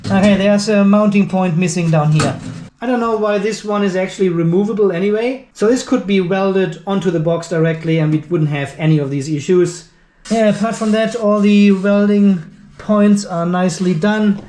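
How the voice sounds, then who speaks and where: Guy w high voice, reviewing gear hands-on, thinks out loud, echoey room. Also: moderate pace, a little hiss or hum. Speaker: video host and product reviewer. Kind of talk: unscripted hands-on product review commentary.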